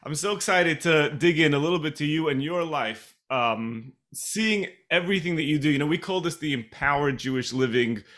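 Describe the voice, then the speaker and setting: A man from Brooklyn, a podcast host who asks his guest enthusiastic, halting questions. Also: on the high side, a bit bright. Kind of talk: interviewing a guest.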